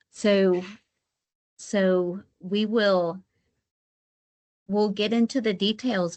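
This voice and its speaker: Woman, lower-pitched voice, gravelly fry, explanatory webinar presenter, step-by-step delivery. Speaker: guest presenter, credit rating specialist.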